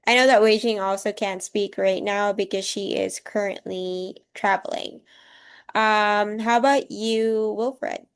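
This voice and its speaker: A woman hosts a video call and walks a group through introductions in a relaxed, friendly way. Her voice is smooth and clean, in a middle pitch. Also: a little slow. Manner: casual, friendly, leading introductions. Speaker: program coordinator. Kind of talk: online group meeting.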